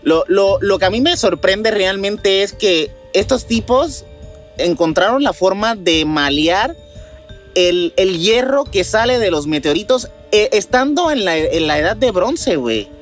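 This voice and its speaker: A man's voice: sits high, lively intonation, measured pace, soft background music. A man with a Mexican accent hosting a mystery news show, mixing scripted narration with slangy, informal asides. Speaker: news host.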